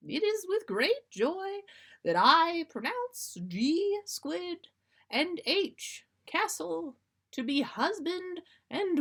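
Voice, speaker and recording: A woman voicing a solemn, joyful wedding officiant in a storybook reading, ceremonial and drawn-out in delivery. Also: sits high, fairly bright tone, pitched above the performer's own voice, heavily acted.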